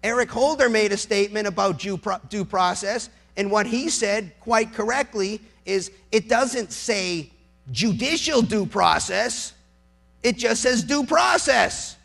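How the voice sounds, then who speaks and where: A man's voice: high-pitched, expressive, measured pace, gravelly, a bit bright. An American man, a lawyer, giving an impassioned public speech, emphatic and sardonic, using rhetorical questions and repeating phrases for effect.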